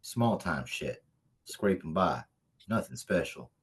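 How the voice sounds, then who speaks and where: A man's voice: deep voice, expressive, slow pace, husky, heavily acted. A man playing a brash, foul-mouthed, emotional small-time hitman in a comedic script table read. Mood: boastful, wistful.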